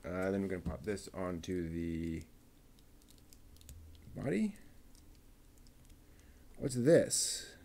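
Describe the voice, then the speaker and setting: A man's voice: low voice, sing-song, takes it slowly, vocal fry, pauses often, dark tone, a little hiss or hum. A man building a model kit on a live stream, muttering to himself and chatting casually with viewers as he works.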